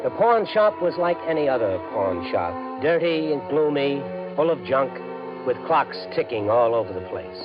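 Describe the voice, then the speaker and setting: Give this one to a desperate American everyman acted by a man, a gambler in a 1950s suspense play. His voice sits in a middle register. The old broadcast sounds dull, with music clearly behind it. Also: medium pitch, very animated, normal speed, natural voice. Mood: tense, brooding. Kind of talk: 1950s radio drama acting, first-person narration.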